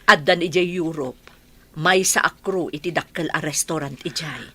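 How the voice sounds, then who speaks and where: A Filipino woman acting a caring, earnest female relative in a radio drama, with a husky, breathy voice and a Filipino accent. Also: deep voice, normal speed, pushed and bright, heavily acted. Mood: earnest, reassuring.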